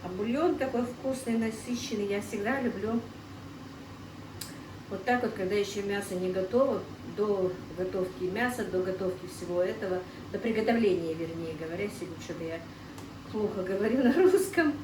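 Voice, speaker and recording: A woman's voice: medium pitch, moderate pace, far from the mic. A middle-aged woman chats away at her home cooking, talking straight to her viewers as friends. Her voice comes from a little way off the mic in an echoey kitchen, with a faint hum underneath. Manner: chatty, keeps calling the viewers friends. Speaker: cooking vlogger. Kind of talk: casual cooking vlog.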